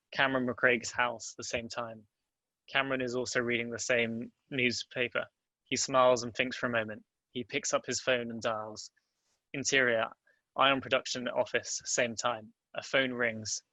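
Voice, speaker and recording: A man reads screenplay stage directions in a plain narrating style. His voice is bright and even, with little pitch movement. The sound is close and dry. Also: no echo, rough recording. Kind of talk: scripted narration at a table read.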